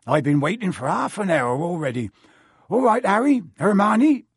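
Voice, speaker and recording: A man voicing a big gruff gamekeeper who talks bluntly with country words. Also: high-pitched, sing-song, moderate pace, no room sound, studio quality, pitched above the performer's own voice, exaggerated voice. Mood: stern.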